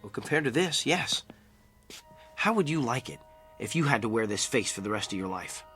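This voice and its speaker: A man's voice: medium pitch, big pitch swings, moderate pace, bright, forceful tone, soft background music. An actor playing a troubled, sardonic company man in therapy in an audio drama, with a curt, defensive delivery. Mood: bitter, wry.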